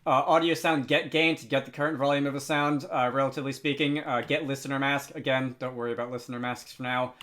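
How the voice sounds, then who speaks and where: A man narrating an unscripted software tutorial, thinking aloud with frequent hesitant pauses. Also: medium pitch, fairly even intonation. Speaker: tutorial host.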